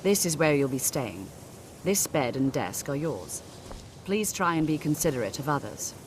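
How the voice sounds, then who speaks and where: Composed senior mage, noisy backdrop. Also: female voice, low voice, sing-song, normal speed. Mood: composed, helpful. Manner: composed, formal, instructing. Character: polite, orderly senior mage showing newcomers around. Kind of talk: video game character dialogue.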